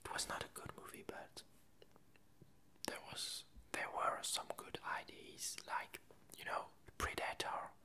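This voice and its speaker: A person with a French accent and French as native language, whispering a casual ASMR chat in a hesitant, unhurried way, close to the microphone. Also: bright, forceful tone. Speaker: ASMR video creator.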